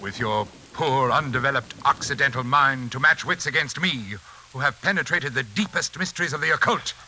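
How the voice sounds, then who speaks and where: A cold, condescending villain of old radio suspense. His velvety, formal speech cuts through very sharp and bright, over a faintly hissy vintage broadcast recording. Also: medium pitch, brisk, rough recording. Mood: menacing. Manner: pompous, condescending, formal diction. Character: sinister, haughty hypnotist brother-in-law, cold and menacing. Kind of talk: 1940s radio suspense acting.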